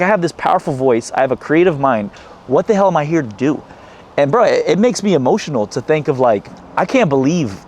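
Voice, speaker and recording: A man's voice: a little high, darkish tone, slight background noise. A young American man, a former teacher hosting the talk, speaking earnestly and reflectively in casual slang. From United States. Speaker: podcast host, former teacher. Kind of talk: casual heartfelt conversation.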